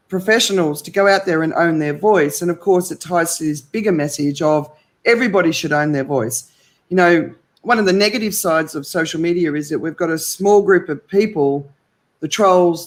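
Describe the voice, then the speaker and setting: A middle-aged woman with an Australian accent, talking as a guest in an interview and recounting her career in an enthusiastic, rambling way. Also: lowish voice.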